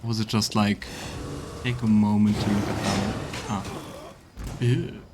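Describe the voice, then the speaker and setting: A man's voice: on the low side, little pitch movement, measured pace, background noise. A man streaming a game with casual live commentary, muttering wry asides to himself as he plays.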